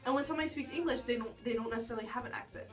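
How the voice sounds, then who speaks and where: A woman's voice: on the high side, rapid-fire, recorded from a distance, faint music behind. A woman from New York answering interview questions casually, hesitant, restarting her sentences and saying "like" often.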